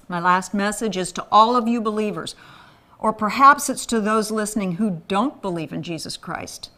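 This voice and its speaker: A woman's voice: mid-range voice, a bit bright. Earnest pleading woman. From Thailand. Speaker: spokeswoman for a men's ministry. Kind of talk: scripted promotional appeal.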